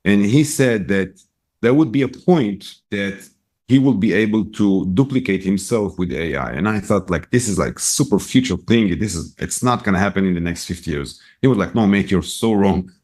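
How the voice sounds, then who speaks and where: A middle-aged man with an Israeli accent speaks on the low side, telling stories in an interview. He talks in quick bursts, often restarting his sentences. His lively pitch swings up and down as he goes. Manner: animated storyteller, quick bursts, many restarts. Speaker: guest, retail business owner. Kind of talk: casual storytelling interview.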